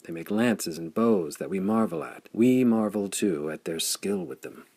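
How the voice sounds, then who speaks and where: A man's voice: sits low, lively intonation, normal speed, vocal fry, a little mellow, husky, dry recording, exaggerated voice. A man voicing a knowledgeable companion in an audiobook, explaining things in a formal way. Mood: admiring, explanatory.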